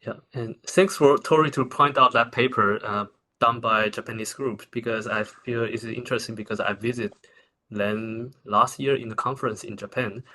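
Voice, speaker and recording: A man with a Taiwanese accent answering questions as a researcher at a conference, in halting, informal phrasing with repeated words. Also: fairly low pitch, pushed and bright, rough recording. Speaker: researcher presenting.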